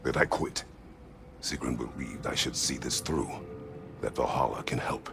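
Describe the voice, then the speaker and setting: A man playing a grim, weary Spartan warrior in a video game, gruff and guarded, speaking tersely in a gravelly voice. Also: extremely low pitch, music in the background. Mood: weary, defensive. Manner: terse, measured. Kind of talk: dramatic video game acting.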